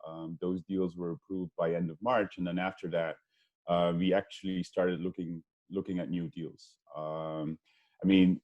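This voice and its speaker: A man's voice: deep voice, level tone, normal speed, a little mellow, muffled recording. A man from Belgium speaking on a video-call webinar panel, hesitant, with many ums and restarts. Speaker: investment fund co-founder.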